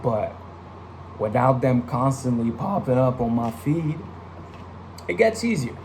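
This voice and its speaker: Reflective young man, halting delivery. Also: mid-range voice, lively intonation, creaky, darkish tone, slight background noise, low-quality recording. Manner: reflective, hesitant, many fillers and restarts. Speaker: vlogger and musician. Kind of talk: casual personal update. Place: home studio room.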